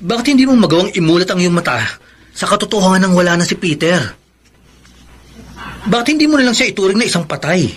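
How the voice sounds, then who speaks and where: An actor playing a firm older brother who gives blunt, admonishing advice in a Filipino radio melodrama. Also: male voice, high-pitched, animated, fast, pauses often, slightly rough. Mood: exasperated. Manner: admonishing, dramatic.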